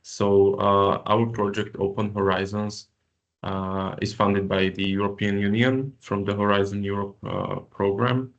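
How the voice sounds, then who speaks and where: A man's voice: lowish voice, flat delivery, measured pace, gravelly, muffled recording. A man from Slovakia presenting as project coordinator in an online info session, hesitant with many fillers. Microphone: video call.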